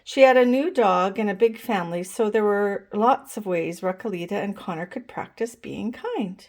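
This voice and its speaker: A woman reading a children's picture book aloud as a storytime host, expressive and pausing to address young listeners. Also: mid-range voice. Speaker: storytime reader.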